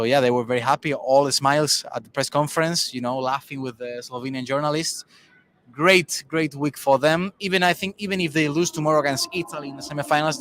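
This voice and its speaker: A man's voice: medium pitch, a bit bright, a little hiss or hum. A man, a tennis podcast co-host, talking through match results in casual conversation with many restarts and fillers.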